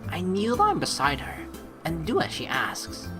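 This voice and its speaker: Female let's player, slightly low and very animated, playful and teasing, reads story text and comments over soft game music. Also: unhurried. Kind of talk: gaming commentary mixed with reading visual novel text aloud.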